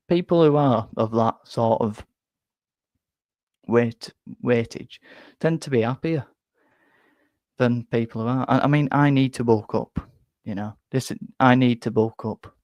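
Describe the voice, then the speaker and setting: Young Yorkshire bloke, slightly nasal, rambling live-stream chatter, mid pitch, dry close mic. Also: male voice, a little slow, long pauses, no echo, muffled recording. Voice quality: bit nasal, flat. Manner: rambling, self-deprecating, stumbles and repeats words. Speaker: football fan streamer reading chat. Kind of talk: casual live-stream chat.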